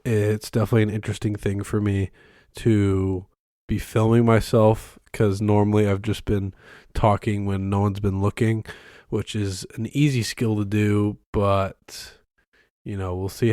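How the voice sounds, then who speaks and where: A young man hosting a casual solo podcast from his bedroom, rambling with many ums and restarts, his voice hoarse and congested from being sick. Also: on the low side, flat delivery, moderate pace, mellow, dry recording, clean studio sound. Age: young adult. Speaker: podcast host. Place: bedroom used as a studio. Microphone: close microphone, filmed on camera.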